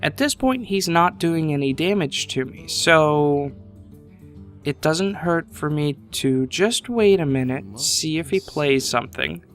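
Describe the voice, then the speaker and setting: A man commentating his card game match casually, thinking aloud at an unhurried pace, his voice a little congested from a sinus infection. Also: a little high, lively intonation, fairly bright tone, faint music behind.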